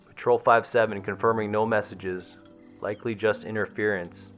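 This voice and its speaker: A man's voice: lowish voice, steady tone, normal speed, fairly bright tone, faint music behind, lightly acted. A man voicing a curt, mildly annoyed police radio dispatcher in a horror story, with clipped procedural talk. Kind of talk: dramatized horror story dialogue.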